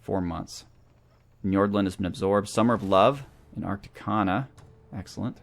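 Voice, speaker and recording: A man's voice: lowish voice, normal speed. A man giving casual gameplay commentary as a let's play host, thinking aloud through his moves.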